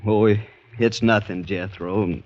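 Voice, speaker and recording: A man's voice: on the low side, fairly even intonation, slow, darkish tone. An actor playing a nervous, guilt-haunted man on the run who stammers as he speaks, in 1940s radio acting. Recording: muffled old radio broadcast with faint hiss.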